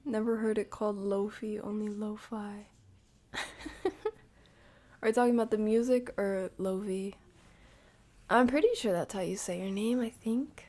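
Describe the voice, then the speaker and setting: A woman chats casually with her livestream viewers. Her voice sits at an average pitch and she takes her time, drawing words out. She is playful and leans on internet slang as she comments. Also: dark tone. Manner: slangy, playful, drawn-out delivery. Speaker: streamer reacting to a video with live chat.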